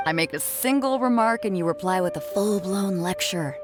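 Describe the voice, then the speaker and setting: A woman's voice: medium pitch, expressive, moderate pace, natural voice. A woman playing a bold, easygoing ship captain in a video game, blunt, wry and swaggering. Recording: prominent music under the dialogue.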